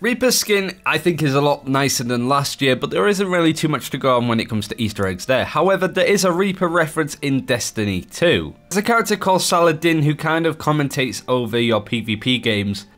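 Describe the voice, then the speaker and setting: Man, higher-than-average pitch, gaming video voice-over, chatty, explanatory presenter. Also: very animated, brisk, few pauses, fairly bright tone, faint music behind. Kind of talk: gaming news commentary voice-over.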